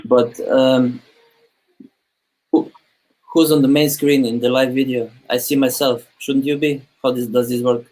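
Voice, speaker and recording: A man from Slovenia chatting casually on a video call, a bit halting and thoughtful. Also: medium pitch, flat delivery, a little mellow, low-quality recording. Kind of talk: casual chat.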